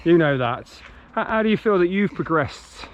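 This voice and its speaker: A man's voice: high voice, lively intonation, normal speed, dull audio, a little hiss or hum. A man with an East Midlands English accent hosting a casual, encouraging chat while running outdoors on a park trail. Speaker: host interviewing a club runner.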